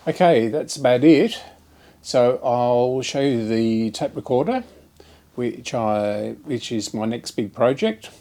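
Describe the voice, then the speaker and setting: A man hosting an electronics channel, talking casually and haltingly through an update and demonstration. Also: medium pitch, expressive, dark tone. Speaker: host of an electronics repair channel.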